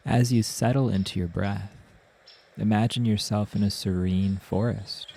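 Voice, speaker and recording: A man narrating a guided meditation as the guide, in a soothing, gentle manner with unhurried instructions. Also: fairly low pitch, fairly even intonation, vocal fry, breathy edge.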